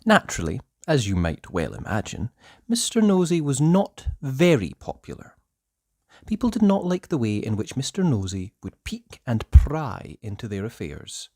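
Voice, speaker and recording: A storyteller reading a children's book aloud in a theatrical, deliberate way with drawn-out emphasis. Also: mid-range voice, huge pitch swings, darkish tone, no room sound, clean studio sound. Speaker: storybook narrator. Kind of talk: expressive children's read-aloud narration.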